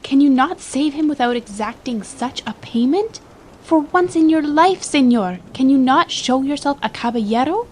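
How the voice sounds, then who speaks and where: A woman's voice: high-pitched, animated, normal speed, darkish tone. Woman doing a proud young noble lady in an audiobook, formal n full of feeling, slight noisy backdrop. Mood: pleading. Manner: impassioned, formal, dignified. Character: proud, defiant young noblewoman pleading for her love. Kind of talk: dramatic audiobook reading. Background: ambience under the voice.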